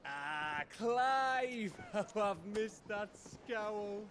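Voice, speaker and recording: Gav, a cheeky, foul-mouthed spy. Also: male voice, high-pitched, animated, very slow, fairly bright tone, a little hiss or hum, heavily acted. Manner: joking and chatty, swears.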